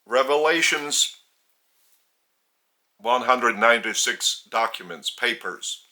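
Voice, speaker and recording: Lithuanian guy doing a spiritual sermon, really sharp forceful voice, halting and emphatic. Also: medium pitch, expressive. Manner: deliberate, halting, emphatic on key words. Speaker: spiritual teacher and religious leader. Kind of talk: spiritual sermon addressed to camera. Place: indoors.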